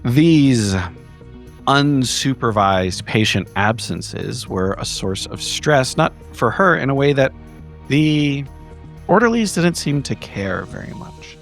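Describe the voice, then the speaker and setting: Man, middle pitch, formal recap narration, measured wordy delivery, tabletop horror player, background music. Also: lively intonation, creaky, a bit bright. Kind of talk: in-character recap narration for an actual play.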